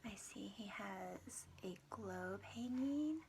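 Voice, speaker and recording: A woman preschool teacher reading a storybook aloud and chatting to young children, expressive and full of questions for her listeners. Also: medium pitch, slow pace, noisy background.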